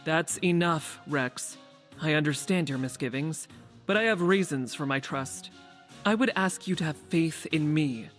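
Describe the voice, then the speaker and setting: An actress playing a composed, authoritative resistance leader in a video game, speaking in a measured, formal way. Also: female voice, low voice. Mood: firm. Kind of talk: video game quest dialogue. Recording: faint background music.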